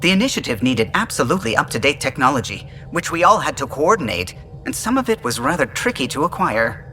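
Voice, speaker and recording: A man's voice: a little high, very animated, a little fast, gravelly, bright, forceful tone, slight background noise, heavily acted. A man playing a proud, fussy starship engineer in a video game, precise and keen on technical detail. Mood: proud, slightly cagey. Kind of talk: video game dialogue acting.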